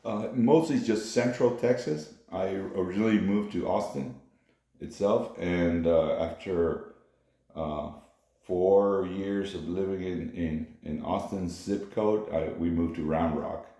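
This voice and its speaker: A man's voice: low voice, slow pace, mellow, heavy reverb. A middle-aged man from Honduras, talking unhurriedly with many fillers in a family interview.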